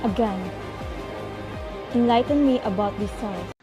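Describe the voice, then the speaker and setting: A woman's voice: medium pitch, unhurried, muffled recording, music in the background, natural voice. A woman voicing a stern, impatient investigator who questions students in a firm, businesslike way in a student radio drama.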